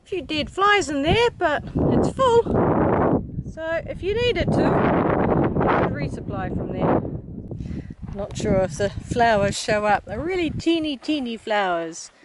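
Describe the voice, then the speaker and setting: A woman's voice: high-pitched, big pitch swings, a little slow. A middle-aged woman with a New Zealand accent, chatting enthusiastically to a handheld camera while hiking outdoors. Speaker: hiker vlogger. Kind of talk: casual walking vlog commentary. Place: outdoors on a desert mountain trail. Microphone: camera held at arm's length. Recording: noisy outdoor camera audio.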